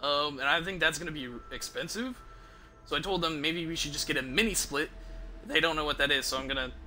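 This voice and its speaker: A man's voice: fairly high pitch, a little fast, sharp tone, slight background noise. A young man chatting casually and offhandedly as he streams a video game, rambling along between bits of play. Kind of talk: casual chat while playing a video game. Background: game music.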